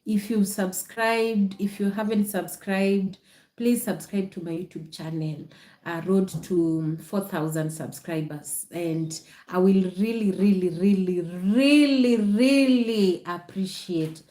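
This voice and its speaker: A woman's voice: medium pitch, steady tone, slow pace, dark tone, reverberant. A woman with a Kenyan accent chatting casually to viewers as a livestream host, explaining patiently and repeating phrases. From Nakuru, Kenya. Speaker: content creator hosting a livestream.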